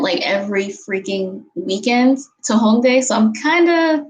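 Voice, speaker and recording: A woman's voice: on the high side, poor audio. A woman, chatting casually as she answers viewer questions from her bedroom on a webcam, giggling often and using many fillers. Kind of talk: casual chat. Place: bedroom, indoors. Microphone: webcam at desk distance.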